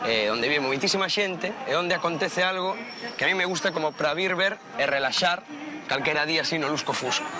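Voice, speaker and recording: A man with a Galician accent speaks as a local guide in a slightly high voice. He talks casually, circling back and rephrasing, with clear outdoor urban noise around him. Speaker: local guide showing the city. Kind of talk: casual chat to camera.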